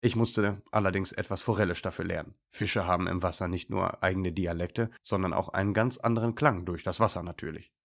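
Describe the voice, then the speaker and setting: A friendly, talkative male nutria from a children's story, played by a man. He explains things cheerfully and knowingly in a low voice with a gravelly creak. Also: steady tone, brisk, hardly any pauses. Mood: cheerful explaining. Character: cheerful, chatty male nutria, friendly local know-it-all.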